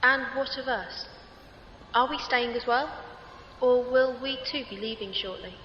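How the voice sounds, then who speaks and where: A woman's voice: a little high, unhurried, natural voice. An actress playing a formal, inquisitive female companion with stilted phrasing in a sci-fi audio drama. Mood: formal, questioning. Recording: dull, echoing, reverberant, faint ambient noise.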